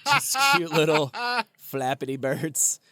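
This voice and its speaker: A man's voice: a little high, big pitch swings, takes it slowly, fairly bright tone, no echo, clean studio sound. A man co-hosting a comedy podcast with casual banter and crude, irreverent jokes. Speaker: podcast co-host.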